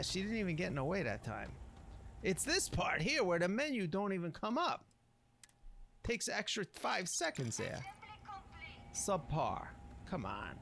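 A man's voice: on the high side, gravelly, background noise. A man giving casual, improvised gameplay commentary in slangy, grumbling talk with mild minced oaths. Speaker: gamer narrating a playthrough.

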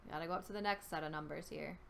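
A young woman streamer with a New York accent and a fairly low voice. She talks casually into a headset at home, informal and chatty as she reads out names. There is some faint noise behind her. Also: rapid-fire, creaky, a bit bright. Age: young adult. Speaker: live streamer. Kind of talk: casual live-stream chat, reading out usernames.

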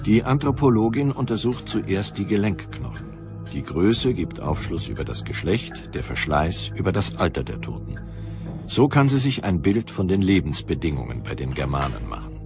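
A man narrating a scripted documentary in a measured, factual manner. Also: deep voice, big pitch swings, gravelly, over music.

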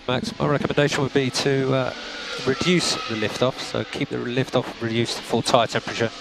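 A man working as race engineer, giving calm, clipped gap numbers and setup instructions to a driver. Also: mid-range voice, a little fast, gravelly, noisy background. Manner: calm, methodical, clipped updates. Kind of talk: team radio instructions. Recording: compressed team radio with music.

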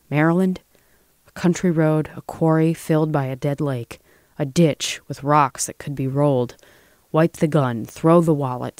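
A man's voice: fairly high pitch, darkish tone, dry recording, close to an everyday voice. A man voicing a tense, secretive male driver in a thriller story, clipped and guarded.

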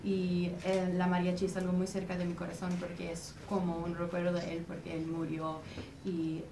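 A woman's voice: fairly low pitch, completely flat delivery, moderate pace. A young woman, a singer answering interview questions and hesitating as she searches for words. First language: English. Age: young adult. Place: dim indoor room. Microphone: far camera. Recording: echoey room, far-off camera mic, clear background noise.

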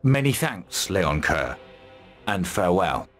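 Low-voiced, resolute army commander. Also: male voice, big pitch swings, slow, a bit bright, heavily acted. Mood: formal, courteous. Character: resolute male mercenary army commander, grimly determined. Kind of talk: video game voice acting. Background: music.

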